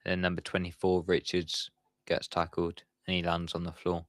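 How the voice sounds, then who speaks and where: A man's voice: deep voice, monotone, normal speed, no room sound, clean studio sound. A young man giving live football commentary on his stream, chatty and talking to his viewers in the chat. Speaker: watchalong streamer.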